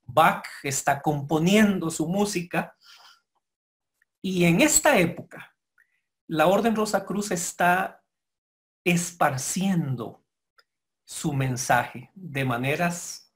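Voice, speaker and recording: A man giving a formal lecture to members, speaking in a measured, explanatory way with pauses and self-corrections. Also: fairly high pitch, expressive. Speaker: guest lecturer.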